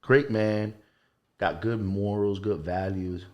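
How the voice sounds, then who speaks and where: A man with a low voice speaks as a coach in a casual interview. He is earnest and informal, often repeating a phrase to drive it home. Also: steady tone, slow pace. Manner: informal, earnest, emphatic repetition. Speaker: coach and gym owner, podcast guest.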